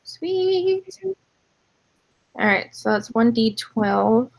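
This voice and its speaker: A woman's voice: on the high side, sing-song, drawn-out words, creaky, dry recording. A woman chatting casually as a player in an online tabletop roleplaying game.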